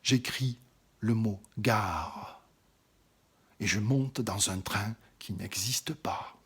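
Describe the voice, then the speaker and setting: A man recites poetry in a deep, heavily breathy voice. He takes his lines at a very slow, deliberate pace, sinking into hushed whispers and then rising on certain phrases. The delivery is theatrical and intimate. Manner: drops often into an intimate whisper; expressive, swells louder on some phrases.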